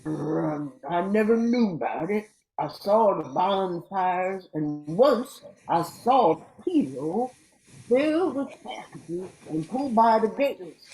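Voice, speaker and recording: A woman playing a shy, gentle Rougarou monster of the bayou in an amateur radio play, speaking haltingly. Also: medium pitch, animated, a little hiss or hum, poor audio. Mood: wondering. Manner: hesitant, halting.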